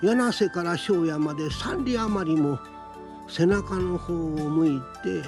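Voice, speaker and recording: A man reading a scripted folktale in a measured, deliberate storytelling manner with pauses. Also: fairly high pitch. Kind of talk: scripted Japanese folktale narration. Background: background music.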